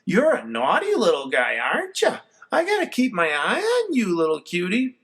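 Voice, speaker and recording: A man reading a children's story aloud, voicing a fussy, exasperated mother in a high put-on voice. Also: huge pitch swings, moderate pace, fairly bright tone, heavily acted. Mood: doting. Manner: high, scolding, sing-song. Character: fussy exasperated mother, middle-aged woman.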